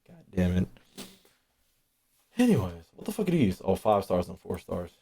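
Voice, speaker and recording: A man's voice: low-pitched, huge pitch swings, normal speed, gravelly, dark tone. A man thinking aloud as a gaming streamer, casual and slangy, swearing freely, repeating phrases and trailing off mid-thought.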